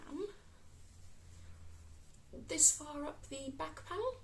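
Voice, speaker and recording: A woman with a West Midlands accent, hosting a casual crafting podcast, croaky from a cold and filling pauses with ums. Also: high voice, expressive, slight background noise. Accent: West Midlands English. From England. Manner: chatty, many ums, unhurried.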